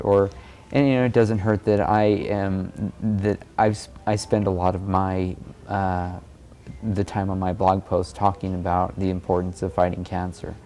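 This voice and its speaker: Male, low and mellow, earnest, halting, informal reflective talk. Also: slight background noise. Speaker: amateur cyclist and blogger.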